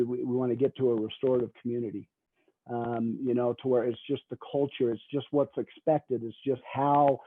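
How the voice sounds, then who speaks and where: Thin, dry, phone-like audio carries a man's voice. He speaks in a very flat tone, offering unscripted remarks and pausing to find his words. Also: medium pitch, no room sound.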